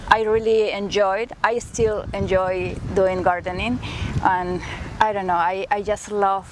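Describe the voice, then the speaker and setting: A woman with a Peruvian Spanish accent speaks in quick phrases, with the occasional restart. Her voice is mid-pitched. A clear layer of background noise runs under her speech. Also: level tone. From Peru. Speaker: interviewee. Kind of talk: reflective personal account.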